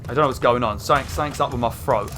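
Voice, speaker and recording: A young man with a croaky throat, rambling through casual gameplay commentary with lots of fillers. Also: medium pitch, very fast. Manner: rambling, chatty, many fillers, excited outbursts. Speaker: gaming channel host. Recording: faint game music behind. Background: game music.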